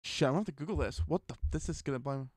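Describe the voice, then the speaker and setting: A man's voice: a little high, rapid-fire, a little mellow, dry recording, studio quality. A man giving a casual, rambling film review, full of fillers and restarts.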